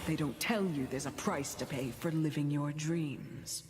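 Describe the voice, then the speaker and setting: A woman playing a mysterious, wry storyteller in a video game, narrating cautionary fables in a measured, theatrical way. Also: low voice, noisy background. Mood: brooding, cautionary. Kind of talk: dramatic scripted game narration.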